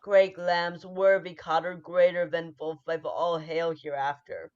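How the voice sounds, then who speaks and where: A woman playing a ruthless, scheming noble lady who drives her husband on, in 1940s radio-play style, with urgent forceful delivery. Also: on the low side, little pitch movement, takes it slowly. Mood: exultant. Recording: dull lo-fi sound.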